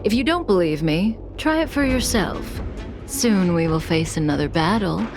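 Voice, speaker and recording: A calm, enigmatic woman from a video game, speaking in a fairly low, measured voice. She is composed and coolly playful, a teasing manipulator who sounds fully in control. Music plays clearly behind her. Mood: gently amused.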